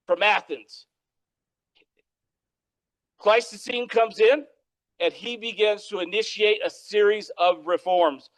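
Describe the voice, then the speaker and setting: A man lecturing on history with a loud, projecting voice, often checking in with "all right?". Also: high voice. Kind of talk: public lecture. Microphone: microphone.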